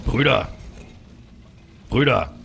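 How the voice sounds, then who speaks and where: A man's voice: mid-range voice, animated, drawn-out words, creaky, a bit bright, a little hiss or hum. A man, probably in his thirties, improvising as a bossy, slangy player in a tabletop role-playing game. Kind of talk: improvised in-character tabletop play and banter.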